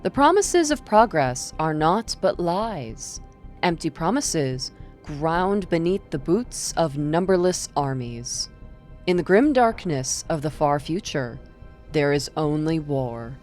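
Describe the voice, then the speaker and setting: A woman's voice: sits low, big pitch swings, slow pace, a little mellow, soft background music, full character voice. A woman playing a wry female archivist of eerie secret files in a scripted audio drama. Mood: grave.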